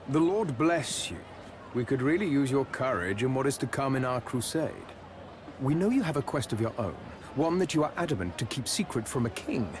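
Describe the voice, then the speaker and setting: An actor playing an imperious, excitable medieval king in a video game, grandiose and theatrical. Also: medium pitch, noisy background, lightly acted. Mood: persuasive. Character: haughty, excitable Hungarian crusader king.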